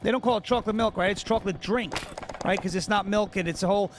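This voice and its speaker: A man's voice: high-pitched, fast talker, noisy background. A man in his thirties from New York, casually and argumentatively discussing politics, often repeating himself. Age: 30s.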